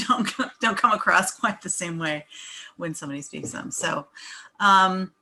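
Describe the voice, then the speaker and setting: A woman's voice: fairly low pitch, a little slow, pushed and bright. A woman screenwriter speaking casually and effusively on a video call, full of appreciation. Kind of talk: casual group chat.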